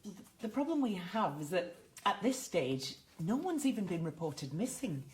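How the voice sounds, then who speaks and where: A woman acting in a radio drama as a patient, sceptical questioner, measured and probing. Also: on the low side, big pitch swings, rough recording.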